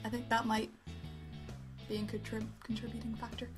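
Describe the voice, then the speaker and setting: Giggly high-voiced woman, casual review co-host. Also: completely flat delivery, normal speed, soft background music. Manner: giggly, tentative, self-deprecating. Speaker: amateur web show review co-host. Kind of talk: casual unscripted review chat.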